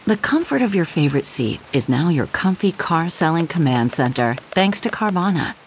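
A woman reading a radio ad in a smooth, friendly way. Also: low voice, big pitch swings, moderate pace, a little hiss or hum. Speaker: ad narrator. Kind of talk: radio ad read.

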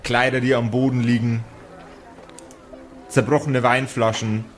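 A man's voice: medium pitch, level tone, a little slow. A man improvising narration and rulings as the game master of a tabletop game. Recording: clear background noise.

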